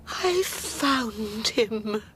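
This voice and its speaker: An actress playing a frail, anxious old wife in a radio drama, calling out plaintively. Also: female voice, on the high side, expressive, very slow, a bit bright, breathy edge, heavily acted. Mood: anxious longing. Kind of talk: radio drama, interior cries.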